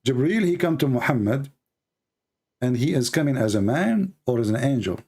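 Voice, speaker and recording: Gravelly voiced man, arabic is his first language, keeps pushing the same question in a debate. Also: medium pitch, expressive, normal speed, darkish tone. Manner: pressing, repeats questions to corner the caller. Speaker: host. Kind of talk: live debate with a caller.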